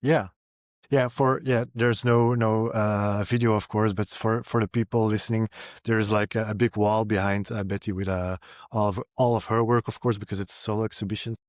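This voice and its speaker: A man speaks as a podcast host in a fairly low voice. The sound is dry, without room echo. He chats casually and hesitantly, rambling and repeating words as he builds each thought. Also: little pitch movement, normal speed. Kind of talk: casual interview introduction and chat.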